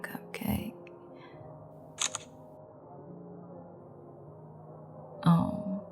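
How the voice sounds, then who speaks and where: A woman playing a menacing, amused female vampire in an audio roleplay, taunting and sultry with breathy, half-whispered asides. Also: fairly low pitch, dark tone, heavily acted. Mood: gloating, hungry. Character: menacing, amused female vampire gloating over a captured hunter.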